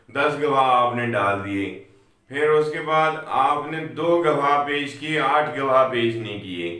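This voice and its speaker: Slow-spoken Pakistani man in an echoey room. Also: mid-range voice, pushed and bright. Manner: deliberate, repeats key points, mixes in English legal terms. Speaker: law lecturer. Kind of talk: explanatory legal lecture with worked examples.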